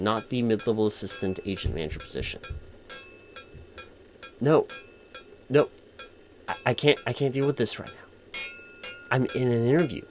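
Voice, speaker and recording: A man's voice: mid-range voice, a little mellow, close to an everyday voice. A man playing a distracted, flustered corporate hiring manager in a comedic roleplay, speaking in wordy, stammering office formality. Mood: flustered, exasperated. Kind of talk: comedic one-sided ASMR-style roleplay, spoken aloud.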